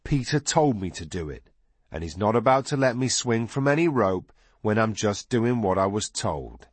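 A man voicing a beaten bully boy in an audiobook story, defiant, with rough, unschooled speech. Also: lowish voice, sing-song, normal speed, dry recording. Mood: sneering. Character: battered, defiant bully boy, sneering then desperate.

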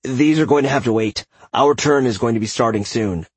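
A man's voice: mid-range voice, fairly quick, slightly rough, dry recording, voice pushed up, natural voice. A man voicing a casual, easily startled fantasy marshal in an audiobook. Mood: casual, brisk. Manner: casual, informal. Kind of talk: audiobook character voice.

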